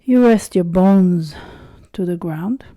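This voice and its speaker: Female meditation guide, low-pitched and very soft-toned, soothing delivery in short phrases, recorded close and dry. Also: dry recording. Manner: soothing, unhurried, with long pauses between short phrases. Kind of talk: slow guided body-scan meditation.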